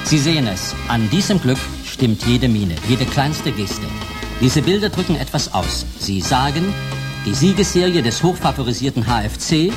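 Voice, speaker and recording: An actor playing an earnest, formal TV football commentator in a radio drama. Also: male voice, mid-range voice, big pitch swings, normal speed, over music, natural voice. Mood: polished, earnest. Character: polished, earnest male TV football commentator presenting staged matches.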